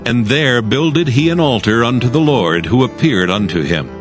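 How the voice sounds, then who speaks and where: A man narrating scripture as a scripted dramatized audio reading, in a solemn, measured storytelling manner. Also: medium pitch, animated. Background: music.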